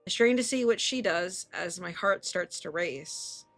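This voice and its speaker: A woman's voice: fairly low pitch, lively intonation, a little slow, fairly bright tone, soft background music. A woman streamer reading a visual novel aloud expressively, with casual, sweary reactions.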